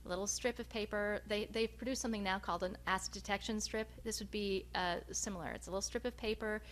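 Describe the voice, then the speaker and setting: Woman giving a research talk, kinda careful and halting, average pitch, some humming noise in the background. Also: fairly even intonation, fairly bright tone. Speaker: researcher presenting at a conference. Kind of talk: research presentation to an audience. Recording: noticeable background hum and noise.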